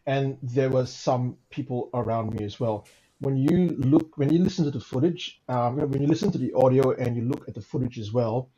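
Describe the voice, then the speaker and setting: A man's voice: medium pitch, dark tone, highs cut off. A man casually telling his own story as a guest, repeating words and adding tag questions for emphasis.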